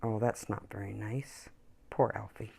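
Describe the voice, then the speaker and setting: A woman reading a children's story aloud with expressive, emphatic, dramatic phrasing. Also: a bass voice, a little slow, gravelly, husky.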